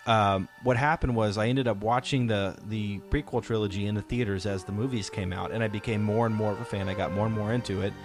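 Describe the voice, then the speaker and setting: A man in his thirties with a fairly low voice hosts a family podcast. He talks in a casual, storytelling way, as a dad does with his kids. Faint music plays underneath. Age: 30s. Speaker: podcast host, father talking with his children. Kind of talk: casual chat, personal storytelling.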